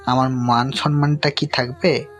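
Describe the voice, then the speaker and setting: A man's voice: mid-range voice, level tone, a little slow, natural voice. A man acting a sceptical, reproving middle-aged father who guards family honour in an audio story. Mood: suspicious, reproving. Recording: music under the voice.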